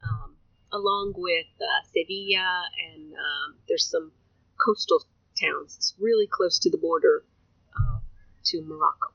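A woman's voice: lowish voice, unhurried, vocal fry, fairly bright tone, husky, no echo, highs cut off. A woman guest whose first language is Spanish, chatting in a casual interview and often laughing at herself. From United States. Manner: chatty, laughing, self-deprecating.

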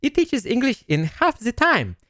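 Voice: mid-range voice, wildly swooping pitch, normal speed, bright, forceful tone, dry recording, studio quality. An actor playing a flustered, polite foreign manager of a language school, hesitant and stammering in a comedy drama. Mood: businesslike.

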